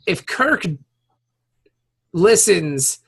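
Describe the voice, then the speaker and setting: A man's voice: sits high, big pitch swings, very slow, gravelly, fairly bright tone, breathy edge. A man co-hosting a podcast in casual, slangy, profanity-sprinkled chat. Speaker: podcast co-host.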